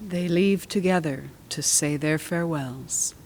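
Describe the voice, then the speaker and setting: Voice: medium pitch, unhurried, darkish tone, slight background noise, low-quality recording. A narrator giving scripted, expository storytelling that links scenes of a classical play. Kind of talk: scripted narration of a play's plot.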